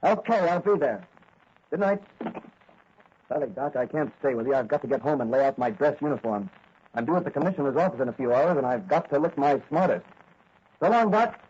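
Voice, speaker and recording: An actor in a 1940s radio serial playing a righteous young rookie cop who fights crime in a mask. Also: male voice, mid-range voice, animated, brisk, a little mellow, husky. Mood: eager, friendly. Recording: dull, lo-fi old radio recording.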